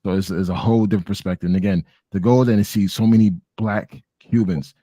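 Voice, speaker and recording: A man's voice: on the low side, steady tone, fast, mellow, dry recording, studio quality. A man from the southern United States talking casually as a panel host, encouraging and addressing listeners directly. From Miami, United States. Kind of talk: casual chat.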